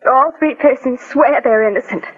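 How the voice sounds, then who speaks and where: An actress playing an anxious, bereaved young American daughter in a 1940s radio drama, polite and earnestly pleading. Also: female voice, sits high, moderate pace, husky. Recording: tinny, reverberant old radio recording with music.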